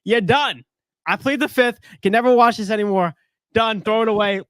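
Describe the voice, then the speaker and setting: A man's voice: high voice, moderate pace, clean tone, no room sound. A man co-hosting a casual sports chat, joking and rambling as he tells stories. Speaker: podcast co-host.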